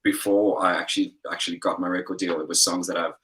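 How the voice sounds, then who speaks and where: A young man, a singer-songwriter guest, chatting in an interview over a video call in a relaxed, rambling way full of fillers. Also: sits low, fast. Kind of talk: casual interview chat.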